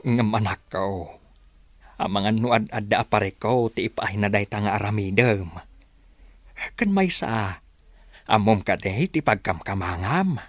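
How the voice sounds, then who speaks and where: A man's voice: fairly low pitch, expressive, measured pace, no echo. An actor with an Ilocano accent playing a cautious, worried village father in a radio drama.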